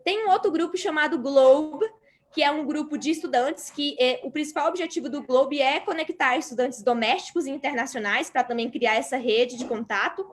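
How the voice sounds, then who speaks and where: High-voiced Brazilian woman panelist. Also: moderate pace. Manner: earnest, explanatory, frequent hesitations. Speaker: graduate student panelist. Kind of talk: panel talk answering a moderator.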